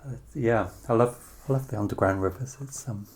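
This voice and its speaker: An interviewer asking casual questions in a tentative, gently probing way. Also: medium pitch, fairly quick.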